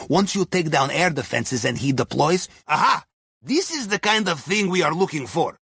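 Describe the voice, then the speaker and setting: Shrewd Russian submariner, high-pitched male voice, raspy edge, clipped conspiratorial phrasing, genial, video game mission guide, close dry sound. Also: bright, forceful tone, no echo, heavily acted. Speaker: mission guide over comms.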